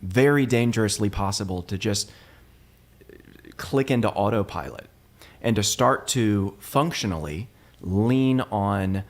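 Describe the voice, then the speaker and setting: A man's voice: lowish voice, a little slow. A young man, a lead pastor, speaking earnestly and reflectively in conversation, with some restarts.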